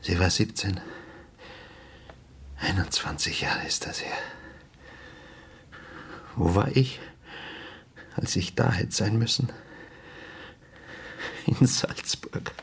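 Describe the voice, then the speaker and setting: An actor playing a grieving, apologetic father in a radio drama, speaking haltingly and hushed, often slipping into whispers. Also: deep voice, slight background noise. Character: grieving, apologetic father of a dead teenage daughter. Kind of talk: naturalistic radio drama acting.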